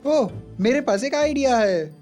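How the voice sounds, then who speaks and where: An eager young cartoon boy who is full of schemes. The voice is fairly high and self-assured, laying things out enthusiastically at an unhurried pace, with faint music behind. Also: expressive, mellow. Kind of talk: animated cartoon dub.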